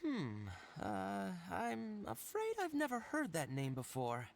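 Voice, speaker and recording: A woman's voice: mid-range voice, huge pitch swings, slow pace, creaky, a little hiss or hum, exaggerated voice. A woman playing a polite, hesitant young villager in a video game.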